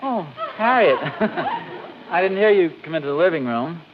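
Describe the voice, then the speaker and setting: A man's voice: sits high, sing-song, unhurried. An American man acting a genial, sheepish, teasing husband in a 1940s radio comedy. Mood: flustered. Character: genial, flustered sitcom husband, bluffing and teasing. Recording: dull old radio recording, slight noise.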